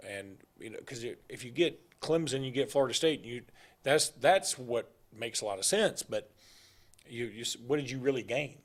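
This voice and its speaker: A middle-aged man talking sports on a casual show. He rambles and gives strong opinions, with plenty of jokes along the way. His pitch sits in the middle range for a man. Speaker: sports talk show co-host.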